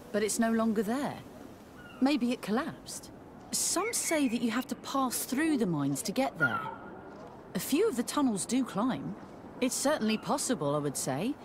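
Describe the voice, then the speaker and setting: Game role: busy, practical female miner woman acting, matter-of-fact and animated, over clear background noise. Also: medium pitch, natural voice. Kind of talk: video game character dialogue.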